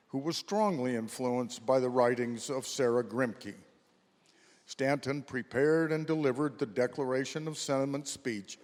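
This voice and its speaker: A man reading scripted historical narration as the narrator of a staged readers theater. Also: mid-range voice. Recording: reverberant hall.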